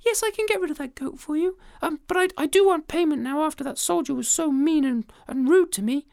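A woman voicing a tiny, polite little male ant with a squeaky, timid voice. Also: a little fast, gravelly, a little mellow, no echo, clean studio sound.

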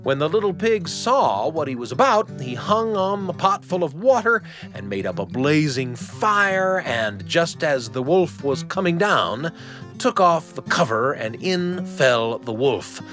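A man's voice: on the high side, sing-song, a little slow, fairly bright tone, soft background music. A man hosting a radio storytelling show, narrating in a scripted but conversational, wry way. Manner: conversational, wry asides to the listener.

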